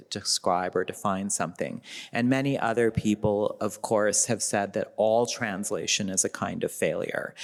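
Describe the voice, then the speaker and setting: A man with a Midwestern American accent talks on a festival panel in a reflective, unhurried way, laughing lightly now and then. His voice sits a little low. The sound carries a long reverb from a festival hall. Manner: thoughtful, laughs lightly, slows down when reading lines aloud. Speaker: literary translator on a festival panel.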